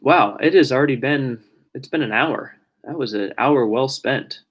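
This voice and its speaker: A young man streaming live, talking casually and at length with his audience. He often restarts his sentences and lets them trail off quietly. After an hour of talking, his mid-pitched voice has turned a little raspy. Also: moderate pace. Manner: rambling, self-correcting, trailing off quietly. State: voice getting raspy from long talking. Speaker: live streamer answering viewer questions.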